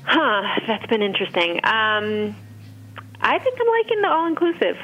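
A woman's voice: medium pitch, wildly swooping pitch, moderate pace, muffled recording. A woman speaking as an interview guest in an informal chat, thinking aloud as she answers. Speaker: interview guest, food writer. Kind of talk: casual chat. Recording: clearly noisy background.

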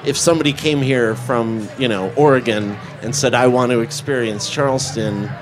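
A man's voice: mid-range voice, moderate pace, music in the background. A man co-hosting a podcast, chatting casually in a laid-back, joking way full of fillers. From born in Connecticut. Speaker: podcast co-host. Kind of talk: casual chat.

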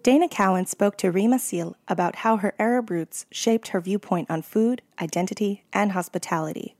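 A woman hosting a food podcast, reading scripted narration in a steady delivery. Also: mid-range voice, normal speed, dry recording, soft background music. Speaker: podcast host.

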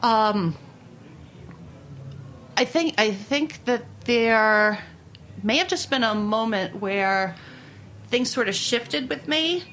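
A woman's voice: fairly high pitch, slow, long pauses. Candid New York woman over background noise. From Brooklyn, New York. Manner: candid, animated, sweary. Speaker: interviewed baker and food writer. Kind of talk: candid conversational storytelling.

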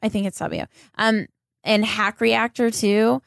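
A woman's voice: medium pitch, unhurried, gravelly, no room sound, clean studio sound. A woman with an American accent, hosting a casual interview in an enthusiastic, informal, chatty way. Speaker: podcast host.

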